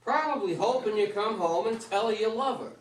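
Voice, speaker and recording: An actor in a staged play reading, playing an avuncular, plainspoken retired baseball legend who gives folksy advice. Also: male voice, sits high, a little fast, natural voice. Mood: wistful. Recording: roomy stage, far from microphone.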